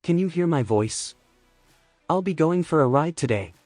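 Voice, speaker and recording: A man with a fairly high voice talks casually in a test call. The sound is very dry, with some faint music behind him. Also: animated, normal speed, darkish tone, no echo. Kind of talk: casual spoken test over intercom.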